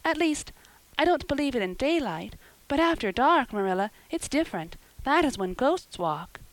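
A woman's voice: high-pitched, very animated, normal speed, no echo, voice pushed up, poor audio. A woman voicing an imaginative, earnest young storybook girl who gushes and pleads in wordy, romantic speeches. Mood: dramatic, fearful.

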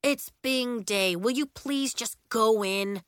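A woman's voice: fairly high pitch, takes it slowly, clean tone, no echo, studio quality. A woman voicing an exasperated, bossy schoolboy who gives firm commands, in an audiobook children's story. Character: exasperated, bossy young schoolboy taking charge.

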